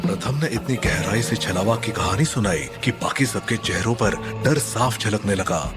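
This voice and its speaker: A man's voice: on the low side, moderate pace, music in the background. A man narrating a scripted horror story in a dramatic, suspenseful manner.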